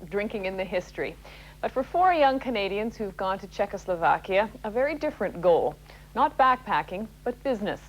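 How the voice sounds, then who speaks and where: A woman's voice: medium pitch, rough recording. A woman introducing a news story in a polished anchor style.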